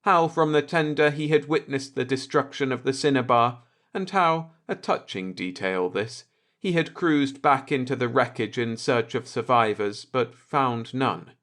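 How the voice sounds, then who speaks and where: A man's voice: mid-range voice, unhurried, fairly bright tone. A man reading scripted fiction as a dramatic audiobook narrator.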